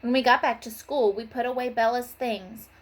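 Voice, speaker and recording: Woman, high voice, schoolteacher, expressive storybook read-aloud. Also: normal speed, a little hiss or hum, rough recording. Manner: expressive, voices the characters' dialogue. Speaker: elementary school teacher.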